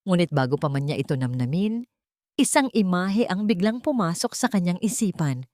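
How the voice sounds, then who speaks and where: Woman reading a dramatic love story, voice on the low side, very dry recording. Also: animated, moderate pace, darkish tone, dry recording. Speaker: story narrator.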